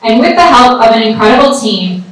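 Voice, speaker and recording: A woman's voice: on the high side, animated, a little fast. A woman giving an earnest speech about her father, read from notes. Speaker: candidate's daughter giving a speech. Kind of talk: prepared speech read from paper. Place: large indoor event hall. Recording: echoey hall, mic from far off.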